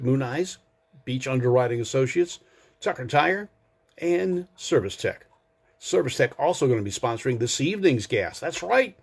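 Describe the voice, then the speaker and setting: An American man, middle-aged or older, hosts a live show for car enthusiasts. His voice sits at an ordinary male pitch. He talks in a folksy, conversational way, addressing his listeners directly as he moves through trivia and chat. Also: creaky, husky.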